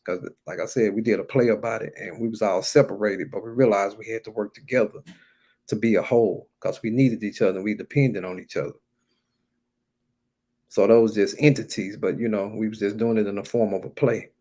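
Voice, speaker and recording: Low-voiced American man giving an informal sermon. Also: fairly even intonation, fairly quick, warm tone. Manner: emphatic, repeats key phrases, colloquial. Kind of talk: informal sermon, exhorting talk.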